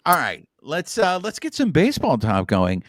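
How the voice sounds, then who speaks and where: A man's voice: a little high, moderate pace, no echo, studio quality. A man in his forties, a native Spanish speaker, co-hosting a podcast in playful, hyped-up casual chat. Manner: lively, playful hype. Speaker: podcast co-host.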